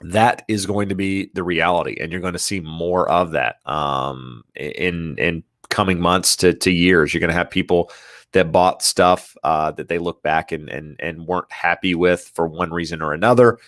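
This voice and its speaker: Deep-voiced man, stuffy and nasal. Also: steady tone, moderate pace, a bit bright, dry recording, studio quality. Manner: hesitant, many fillers and restarts, reads out viewer questions. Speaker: real estate livestream host. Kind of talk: casual live Q&A.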